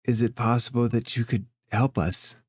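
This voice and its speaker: A man narrating a tabletop role-playing game as game master, measured and pausing between phrases. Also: mid-range voice, fairly even intonation, husky, no room sound, studio quality.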